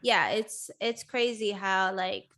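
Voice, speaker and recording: A young woman from Sweden, hosting a casual interview and talking in a chatty, animated way with frequent laughter. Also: medium pitch, slow. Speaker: host.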